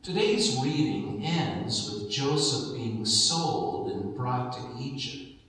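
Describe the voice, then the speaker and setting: Slow-speaking American pastor in an echoing church. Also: male voice, mid-range voice, lively intonation, a bit bright. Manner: measured, deliberate phrasing with pauses. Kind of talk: sermon. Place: large church sanctuary, indoors at the altar. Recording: echoey church hall, distant microphone.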